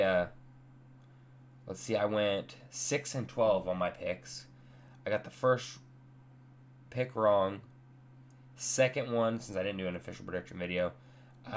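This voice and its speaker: A young man with a stuffy, congested voice, giving a blunt, rambling reaction to a night of fights. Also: on the low side. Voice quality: nasal, stuffy. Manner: blunt, offhand, rambling with restarts. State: congested after sickness. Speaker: MMA fight reaction host. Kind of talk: casual post-fight reaction chat.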